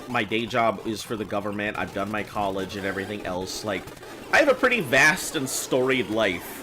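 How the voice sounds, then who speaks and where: A man in his thirties who sounds much younger, chatting casually on a game stream and rambling with fillers. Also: mid-range voice. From United States. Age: 30s.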